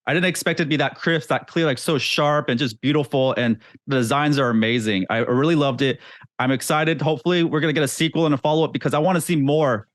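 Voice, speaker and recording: A man's voice: a little high, quick pace, dry recording, studio quality. A man hosting a casual interview, chatting with a fan's enthusiasm about films and shows. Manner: friendly, chatty, fan enthusiasm. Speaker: interview host.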